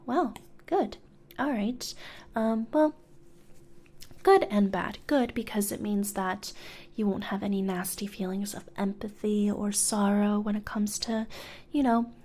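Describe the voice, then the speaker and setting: A woman playing a businesslike, politely sinister female demon recruiter in a soft, breathy ASMR whisper, close to the microphone. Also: medium pitch. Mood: calmly appraising. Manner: hesitant, measured corporate politeness, murmured. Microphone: close studio condenser microphone.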